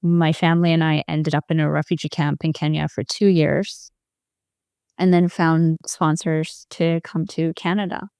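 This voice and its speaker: A woman speaks low and softly, with a gravelly edge and a mellow tone. She tells her story thoughtfully, recorded close and dry. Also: flat delivery, moderate pace, no echo. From Ethiopia. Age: 40s. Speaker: guest, former psychiatric nurse. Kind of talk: personal storytelling in an interview.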